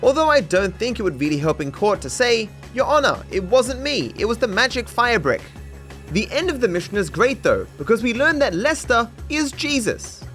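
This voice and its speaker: A man's voice: very high voice, very animated, moderate pace, a bit bright. A man reading scripted comedic commentary on a video game in a dry, mock-serious, sarcastic way. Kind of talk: scripted comedic narration over game footage. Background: music under the voice.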